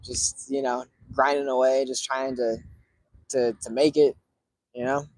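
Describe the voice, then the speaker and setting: A man in his early thirties talking casually to viewers, relaxed and rambling with lots of fillers. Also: medium pitch, unhurried, no echo, low-quality recording. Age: 30s. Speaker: cigar streamer.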